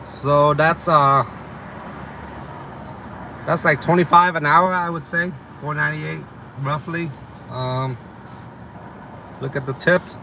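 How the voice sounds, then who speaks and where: A man's voice: fairly high pitch, fairly even intonation, slow pace, creaky, long pauses, noisy background. A young man with a slight Vietnamese accent, chatting casually with live viewers about delivery driving in a loose, rambling way full of ums and uhs. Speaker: delivery driver streaming to viewers. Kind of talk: casual live chat reading viewer comments.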